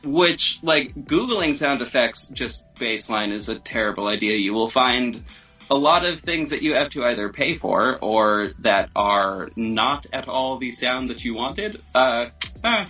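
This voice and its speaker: A man's voice: mid-range voice, expressive, measured pace, bright, forceful tone, muffled recording, faint music behind. A man chatting casually as a podcast guest who makes audio drama, rambling in an informal, self-deprecating way. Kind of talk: casual chat.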